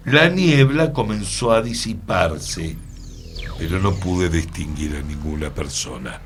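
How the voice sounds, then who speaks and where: An actor playing an earnest, mysterious storyteller in a radio play, pausing for dramatic effect. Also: male voice, sits low, lively intonation, soft background music. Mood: grave storytelling. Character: earnest, mysterious male storyteller recounting an enchantment. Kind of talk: radio theatre acting.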